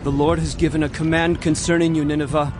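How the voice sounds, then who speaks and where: A man's voice: on the high side, flat delivery, fast talker, over music, close to an everyday voice. A man playing a prophet narrator, a solemn and urgent herald of doom, in an emphatic dramatized scripture reading.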